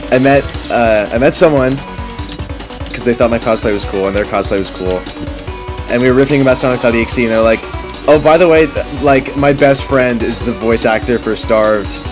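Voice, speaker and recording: A man's voice: medium pitch, moderate pace, music in the background. A young man hosting a gaming video, rambling and joking with casual profanity among friends. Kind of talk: casual game commentary with friends.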